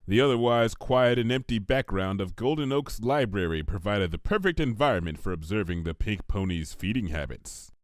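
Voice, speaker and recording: A man reading a fan fiction story as narrator, in a measured scripted delivery with wry formality. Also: on the low side, expressive, no room sound, studio quality.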